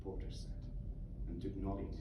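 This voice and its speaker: A man giving a live literary reading of his own fiction in a small theatre. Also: measured pace. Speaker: author reading own novel aloud. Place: small theatre, book launch. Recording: echoey theatre from far off, faint noise.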